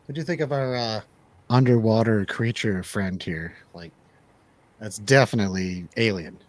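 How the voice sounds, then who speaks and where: A man's voice: lowish voice, normal speed. A man hosting a radio show, chatting casually and jokingly about films in an informal way. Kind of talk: casual film review banter.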